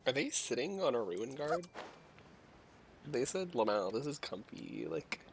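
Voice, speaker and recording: Casting: male streamer, mid-range voice, lively intonation, playful slangy live commentary, noticeable background noise. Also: mid-range voice, a little slow. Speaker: game streamer.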